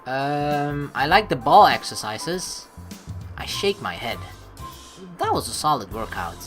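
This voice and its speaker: A woman's voice: low voice, sing-song, slow pace, over music. A woman with a playful, teasing manner, commentating on a game and reading its story text aloud. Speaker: let's player. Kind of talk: gaming commentary mixed with reading visual novel text aloud.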